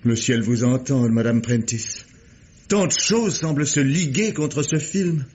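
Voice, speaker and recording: A man's voice: mid-range voice, measured pace, darkish tone, lightly acted. An actor playing an anxious, ambitious male writer in an old French radio drama, worried and deferential.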